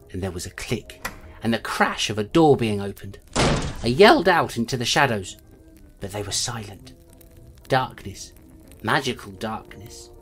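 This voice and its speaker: Audio drama lead: streetwise clawed creature detective, low register, swooping intonation, terse and self-deprecating. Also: female voice, exaggerated voice. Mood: tense, menacing. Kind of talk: dramatic first-person storytelling in an audio drama.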